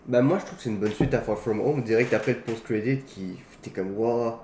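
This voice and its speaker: A man's voice: medium pitch, brisk, warm tone. A man co-hosting a casual talk show about films. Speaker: co-host of a film talk show. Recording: roomy echo, faint background noise.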